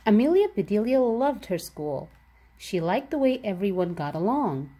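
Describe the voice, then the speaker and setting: Lively, mellow woman reading a children's storybook. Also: mid-range voice, moderate pace. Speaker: read-aloud storybook narrator. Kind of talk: children's picture book read-aloud.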